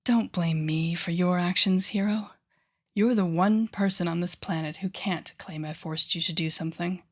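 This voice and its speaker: A woman performs a telepathic villainess for an audio roleplay. Her voice sits a little low for a woman. She is haughty and sardonic, teasing the listener with sighs and deliberate pauses, a softer heart hidden under the scorn. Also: fairly even intonation, natural voice. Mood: smug, flirtatious. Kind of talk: intimate audio roleplay acting addressed to the listener.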